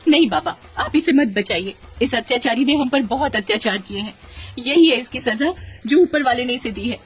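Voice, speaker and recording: Woman as young cartoon cow, devoted daughter, earnest, emotional, raised pitch, music behind. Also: animated, moderate pace, husky. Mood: indignant. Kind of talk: Hindi cartoon story voice acting.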